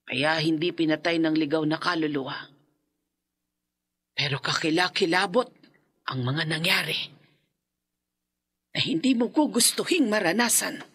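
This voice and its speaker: A woman's voice: low voice, measured pace, pauses often, a bit bright, husky, exaggerated voice. An actress playing a grave older woman who survived a haunting, speaking in a solemn, warning way in a radio drama.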